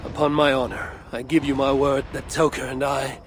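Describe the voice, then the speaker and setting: An actor playing a blunt, determined Norse warrior with terse, resolute delivery in a dramatic video game. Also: male voice, medium pitch, little pitch movement, normal speed, noisy background, close to an everyday voice. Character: determined, blunt Norse raider warrior seeking to end bloodshed.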